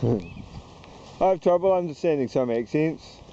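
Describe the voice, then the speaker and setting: A man's voice: on the high side, lively intonation, warm tone, highs cut off, slight background noise. A man with a New Zealand accent rambling hesitantly while walking around town outdoors, vlogging to the camera. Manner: hesitant, halting, slow and wandering. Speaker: YouTuber. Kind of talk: rambling walk-and-talk vlog.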